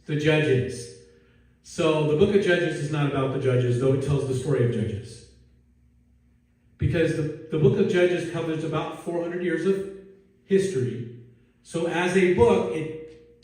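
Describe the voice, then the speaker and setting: A male pastor preaching a relaxed, joking sermon and asking his congregation questions, recorded in a church sanctuary from a distance. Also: fairly high pitch, unhurried. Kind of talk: conversational sermon. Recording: echoey hall, distant mic.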